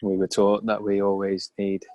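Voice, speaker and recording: Male meditation guide: calm and slow, low register, little melodic movement, close dry sound. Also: no room sound. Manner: calm, unhurried, measured phrases.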